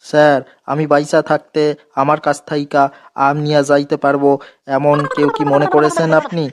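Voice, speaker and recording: A stubborn cartoon schoolboy, performed by a man. He is deadpan and insistent, in a fairly high voice that barely varies. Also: moderate pace, lightly acted. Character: cheeky, stubborn schoolboy giving absurd answers. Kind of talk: comedy cartoon dialogue.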